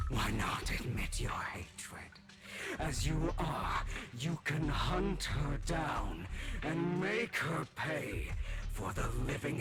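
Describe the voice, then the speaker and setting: A man voicing a sinister, insinuating inner self that tempts toward vengeance in a dramatic video game. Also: mid-range voice. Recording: loud music behind the voice.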